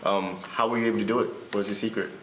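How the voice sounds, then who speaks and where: A man's voice: fairly low pitch, fairly even intonation, fast talker, reverberant, slight background noise, low-quality recording. A young man, a college student, hosting a casual interview and asking informal questions. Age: young adult.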